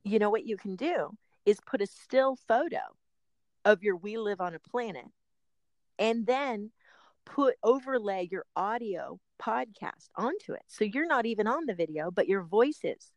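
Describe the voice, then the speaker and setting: A woman's voice: medium pitch, lively intonation, normal speed, a little mellow, no room sound. A middle-aged woman hosting a podcast, chatting casually with frequent laughs and rambling asides. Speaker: podcast host and personal trainer.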